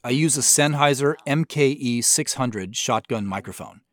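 A man's voice: mid-range voice, moderate pace. A man who creates audio drama, giving a reflective, explanatory director's commentary.

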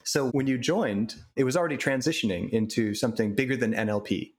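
A man's voice: medium pitch, fairly quick. A male podcast host leads the interview with eager, friendly questions. He sets out topics in a chatty, conversational tone.

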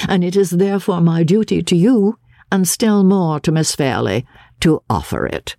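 Female reader as gentleman baronet, courteous, formal, pressing, swooping intonation, close dry audiobook sound. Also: sits low, no echo, full character voice. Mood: courteous, solemn. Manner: formal, elaborately polite, persuasive. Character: smooth, courteous, insistent English gentleman baronet. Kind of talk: dramatic audiobook reading.

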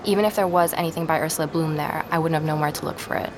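An actress playing an earnest, rattled young woman investigator in a naturalistic audio drama, speaking haltingly as she records her search. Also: deep voice, steady tone. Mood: troubled, explaining. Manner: hesitant, halting, with repeated words. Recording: street and vehicle noise behind.

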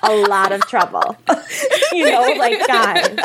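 An American woman chatting casually as a podcast guest, enthusiastic and quick to giggle. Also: a little high, huge pitch swings, noisy background. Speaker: podcast guest, horror storyteller. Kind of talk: casual chat.

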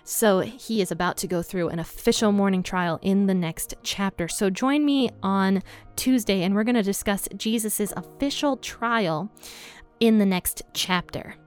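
A woman's voice: mid-range voice, a little slow, clear, resonant tone, soft background music. A woman hosting a casual Bible study podcast, chatty and conversational, often laughing lightly between thoughts. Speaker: podcast host teaching the Bible.

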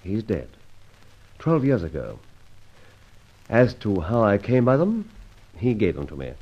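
A suave gentleman bandit of old radio drama, heard through a dull, lo-fi recording. His low voice swings freely as he gives courtly orders and assurances, tossing in bits of slang. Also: huge pitch swings, measured pace, slightly rough, exaggerated voice. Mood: suave, assured. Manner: genteel, courtly, commanding, slangy. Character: suave male gentleman thief, masked, chivalrous adventurer.

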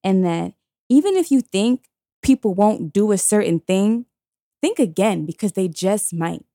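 A woman's voice: mid-range voice, expressive, a little slow, warm tone, dry recording, studio quality. A woman, telling a personal story bluntly and emphatically in slangy casual chat. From Queens, New York.

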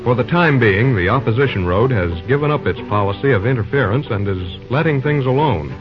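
A man's voice: mid-range voice, expressive, brisk. A man announcing and narrating an old-time radio serial in a scripted style. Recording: muffled old radio recording. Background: music.

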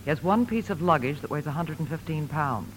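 An actor playing a discreet, businesslike airline clerk in a 1950s radio drama. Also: male voice, fairly high pitch, lively intonation, a little fast, close to an everyday voice, poor audio. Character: airline counter clerk discreetly tipping off customs. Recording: old radio broadcast, faint noise.

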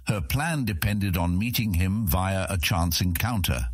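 A man reads scripted fantasy narration in a very low, husky voice. His intonation is lively and varied. The recording is dry and close. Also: unhurried, no room sound. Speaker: audiobook narrator.

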